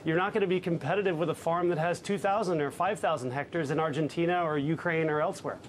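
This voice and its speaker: A man in his thirties, a fund manager in a live news interview, speaking in a measured way with many fillers, on a lapel mic in an office. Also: a little high, slight background noise. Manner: measured, hesitant with frequent fillers. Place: office with trading desks behind.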